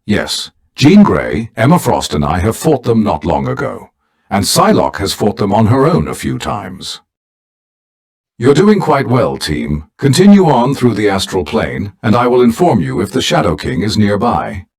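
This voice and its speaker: A man's voice: on the low side, sing-song, vocal fry, slightly rough, exaggerated voice. A man acting a dignified, formal older mentor who leads a team of heroes in a video game. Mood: cautioning. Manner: formal, measured, grave.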